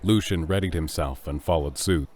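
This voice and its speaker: A man's voice: very deep voice, moderate pace, a little mellow. A man reading scripted narration for a fantasy audio drama.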